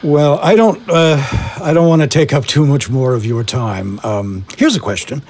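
A man's voice: medium pitch, normal speed, a little mellow. A man hosting a mock podcast interview in a conversational way, polite and a little hesitant.

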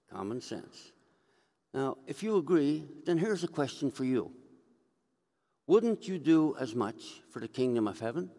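Older man, priest, homily, conversational storytelling, expressive swinging pitch, lectern mic, reverberant church. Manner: conversational storytelling, gesturing as he speaks. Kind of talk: sermon. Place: church sanctuary, indoors. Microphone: gooseneck lectern mic.

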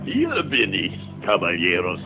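An actor playing a scheming villain mastermind in a cartoon audio drama, speaking dramatically and peppering in Spanish words. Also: male voice, low-pitched, huge pitch swings, measured pace, dull audio, full character voice. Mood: sly, commanding. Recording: band-limited old cassette audio, loud music.

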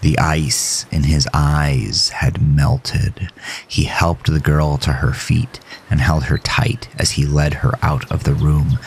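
Very deep, slow-paced male narrator. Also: slight background noise. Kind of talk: scripted horror story narration.

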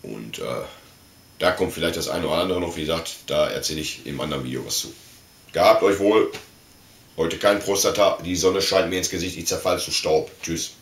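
A man's voice: sits low, a little fast. A man in his forties from Germany, chatting in a colloquial, slangy, enthusiastic way as a vlogger showing off collectibles. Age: 40s. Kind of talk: casual unboxing chat. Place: small indoor room full of collectibles. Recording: echoey room with faint noise, clicks.

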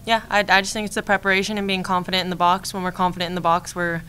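A young woman in her twenties, a college softball catcher, answering postgame press questions at a desk mic, calm and steady, repeating key phrases. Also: lowish voice, racing, a little hiss or hum. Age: 20s. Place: press room in front of a team backdrop. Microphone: desk mic on a stand.

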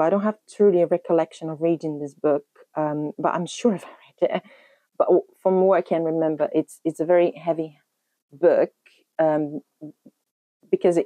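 A woman in her thirties from Brazil, Portuguese as her first language, talks casually into a camera at home. Her voice sits low and sounds mellow. The sound is dry, with no room echo. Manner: chatty, thinking aloud with many ums and restarts. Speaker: book channel host. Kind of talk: casual book haul vlog. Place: room at home in front of a bookshelf.

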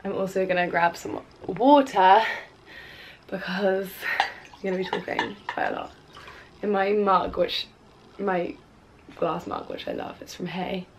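A woman's voice: medium pitch, creaky, a little hiss or hum, rough recording. A woman in her twenties answering viewer questions to camera in a casual, rambling way, sounding stuffy from a cold. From Wales. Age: 20s. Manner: chatty, rambling with fillers and restarts. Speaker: cook and influencer. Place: bedroom at home, indoors. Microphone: camera on a tripod in front of her.

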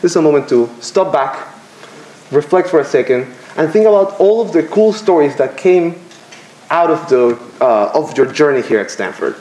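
A young man from Venezuela gives a graduation speech at a podium, halting and self-deprecating, with filler pauses. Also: fairly high pitch, lively intonation, a little mellow. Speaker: commencement student speaker. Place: lecture room with podium. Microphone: podium microphone. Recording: roomy lecture hall echo.

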